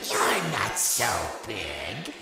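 A man's voice: mid-range voice, gravelly, full character voice. An actor playing a gleeful, creepy balloon-boy animatronic in a horror game, taunting with menace. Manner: taunting, wildly swooping. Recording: echoey game audio with music.

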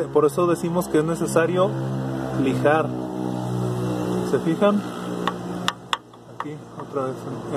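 Hombre mexicano explicando reparación de auto, voz algo aguda, ruido de fondo leve. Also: male voice, fairly high pitch, background noise. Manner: casual, thinking aloud with restarts. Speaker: car electronics tutor. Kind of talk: hands-on repair demonstration.

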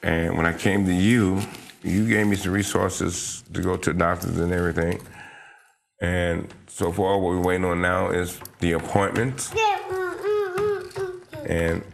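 Very deep-voiced middle-aged man. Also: flat delivery, unhurried, vocal fry, pauses often, a little hiss or hum. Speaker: interview guest, father of young children. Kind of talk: plain personal account in an interview. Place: small TV studio living-room set. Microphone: lapel.

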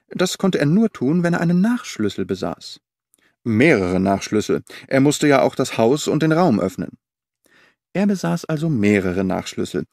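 A man reading the part of a sharp-witted detective reasoning aloud in an audiobook. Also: medium pitch, no echo, studio quality.

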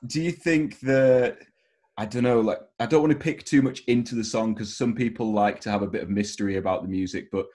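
Young man, lowish voice. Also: fairly even intonation, brisk, darkish tone. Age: young adult. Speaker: interviewer. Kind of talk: casual interview chat. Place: bedroom. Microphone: headset on video call.

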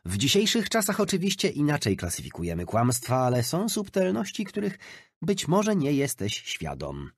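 A man reading a nonfiction audiobook as an expressive scripted narration. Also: a little high, moderate pace, husky, no room sound.

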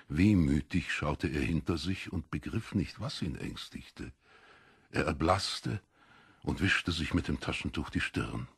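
Ein Mann liest als Hörbucherzähler einen literarischen Text, mit rauer Stimme und bedachter, dramatischer Phrasierung. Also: male voice, extremely low pitch, lively intonation, a little slow, gravelly, breathy edge, no echo, studio quality. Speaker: audiobook narrator. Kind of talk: scripted literary narration.

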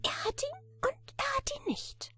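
A tiny, cranky old fairy-tale man, acted out by a woman storyteller, with an extremely high, thin, squeaky voice. He is greedy, brusque and bossy, piping out his demands impatiently. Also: unhurried, pushed and bright, dry recording, whispered, clean studio sound, exaggerated voice. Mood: satisfied, knowing. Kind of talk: children's fairy tale reading.

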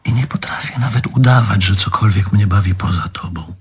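An actor playing a jealous, self-pitying man reproaching his lover in halting, literary phrases, in theatrical stage style. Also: lowish voice, slightly rough. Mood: wounded, hushed. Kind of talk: theatrical stage drama acting.

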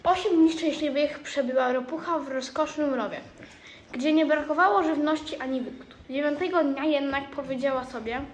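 A woman's voice: high-pitched, moderate pace. A girl of about twelve reading scripted storybook narration. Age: child, around twelve. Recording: clearly noisy amateur recording.

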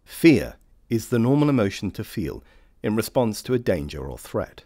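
Deep-voiced male narrator. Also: big pitch swings, mellow, dry recording, clean studio sound. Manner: measured, formal, clearly phrased. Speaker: narrator of a training film. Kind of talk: scripted informational narration.

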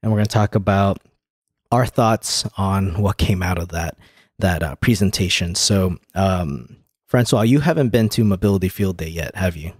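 Man, podcast host, low voice, dry close sound, casual, chatty, explanatory. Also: normal speed, slightly rough, no echo. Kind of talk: casual tech podcast talk.

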